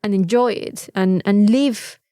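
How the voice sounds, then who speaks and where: A woman chatting casually as a podcast co-host, animated and free with swearing. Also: mid-range voice, unhurried, clear, resonant tone, dry recording, studio quality. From Mexico. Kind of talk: casual chat.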